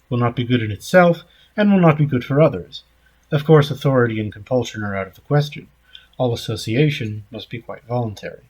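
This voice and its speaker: A man reading a written essay aloud in a measured, scripted narration style. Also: mid-range voice, husky, rough recording. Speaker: essay reader.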